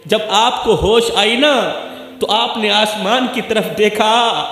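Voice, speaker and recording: A man's voice: sits high, fairly bright tone. A man preaching an emotional sermon, pleading and repeating lines for effect. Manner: impassioned, repetitive pleading delivery. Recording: heavy echo with long hall reverb and music.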